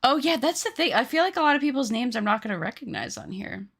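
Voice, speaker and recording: Woman, late twenties, streamer; bright tone with some fry; chatty, teasing, self-deprecating. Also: a little high, lively intonation, quick pace. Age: 20s. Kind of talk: casual live-stream chat while crafting.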